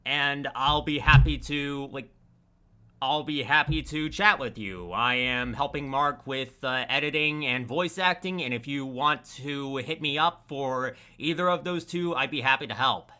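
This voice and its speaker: A man's voice: medium pitch, measured pace, bright, forceful tone, smooth. A man speaking casually and off the cuff as a panel guest, somewhat hesitant, listing things one by one. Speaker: panel guest, video creator. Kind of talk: casual unscripted panel chat.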